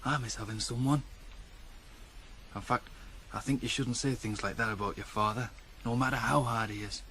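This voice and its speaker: A young farm lad in a 1980s radio drama. He talks bluntly, with a teasing, fatherly streak, at a middling pitch over faint background noise. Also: fairly even intonation, moderate pace, sharp tone. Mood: quiet, fatherly. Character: nineteen-year-old farm lad, male, teasing, blunt but fatherly.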